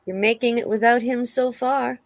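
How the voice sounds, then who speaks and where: A woman playing a sympathetic, matter-of-fact police interviewer in a low-key dramatic reading of a transcript. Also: steady tone, normal speed, no echo, lightly acted.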